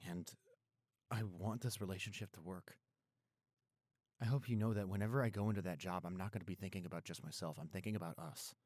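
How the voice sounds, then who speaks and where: A man playing a caring, apologetic young boyfriend in an intimate roleplay audio, speaking earnestly and gently. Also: low-pitched, brisk, no echo, clean studio sound. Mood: earnest, reassuring. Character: apologetic, caring young boyfriend soothing his upset girlfriend.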